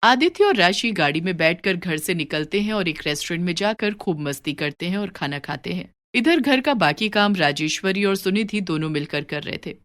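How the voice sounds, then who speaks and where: A woman's voice: fairly low pitch, animated, normal speed, hardly any pauses, fairly bright tone. A woman reading scripted moral story narration as a storyteller.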